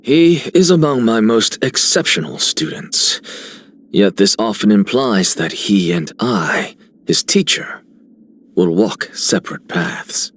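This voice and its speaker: A man playing a proud, aloof scholarly teacher in a video game, speaking deliberately and theatrically. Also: on the low side, very animated, heavily acted. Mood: aloof pride. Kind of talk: scripted game character voicelines.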